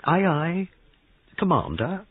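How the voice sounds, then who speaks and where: A man voicing a flighty, childish, excitable young man in an audiobook story, boyish and hesitant. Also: on the high side, takes it slowly, husky, dry recording, voice pushed up, heavily acted. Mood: flippant. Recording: narrow-band lo-fi radio-like sound.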